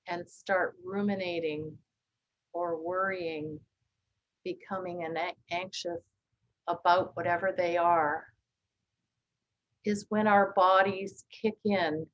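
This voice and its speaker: A woman leading a guided body scan meditation, speaking gently and calmly with long, unhurried pauses. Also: on the low side, muffled recording. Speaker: meditation teacher.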